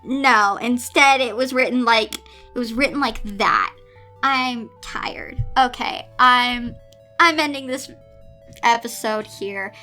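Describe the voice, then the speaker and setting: A woman's voice: on the high side, slow pace, bright, forceful tone. A woman hosting a story reading with casual commentary, informal and exasperated in manner. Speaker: host reading and reviewing fan fiction. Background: music.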